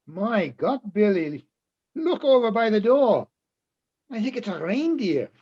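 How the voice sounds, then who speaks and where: A man playing a friendly, earnest bar patron in a radio-style play, speaking in a measured, explanatory way. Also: high voice, big pitch swings, a little mellow, slightly rough, muffled recording, exaggerated voice. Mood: amazed. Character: friendly Austrian-toast-teaching bar patron, adult man. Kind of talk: radio-play style readers theatre acting.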